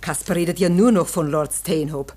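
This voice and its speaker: Anxious housewife, lowish voice. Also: female voice, moderate pace, darkish tone, breathy edge. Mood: concerned. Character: anxious, soothing, curious housewife in 1830s Germany. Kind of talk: German radio play acting.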